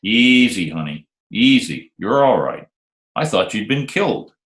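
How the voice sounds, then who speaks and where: A man playing a smooth, wisecracking hardboiled private detective in an old-time radio drama. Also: fairly low pitch, sing-song, unhurried, a bit bright. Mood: soothing, steady. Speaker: lead actor and narrator. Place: home, remote video call recording. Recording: roomy, echoey remote home recording.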